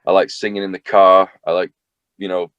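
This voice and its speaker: Young northern english man, very deep voice, dry close sound, chatty and rambling. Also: level tone, fairly quick, a bit bright, clear, resonant tone, dry recording, dull audio. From north of England. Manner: rambling, self-deprecating, chatty with many fillers. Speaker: singer-songwriter guest. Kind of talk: casual interview chat.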